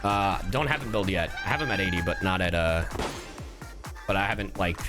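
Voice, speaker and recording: A man's voice: low voice, lively intonation, fairly quick, over music. A man streaming casual live reactions to a game, joking and slangy. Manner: joking, slangy, breaks into humming and catchphrases.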